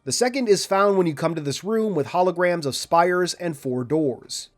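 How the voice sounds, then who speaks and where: A man reading a scripted video game walkthrough in a measured, orderly, instructional way. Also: high voice, expressive. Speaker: video game guide narrator. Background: background music.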